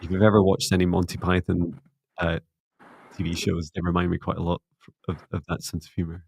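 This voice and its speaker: A Scottish man hosting a live psychic reading, chatty and upbeat, slowing down gently when passing on messages. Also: low-pitched, little pitch movement, vocal fry, a little hiss or hum. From Scotland. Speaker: psychic reader and co-host.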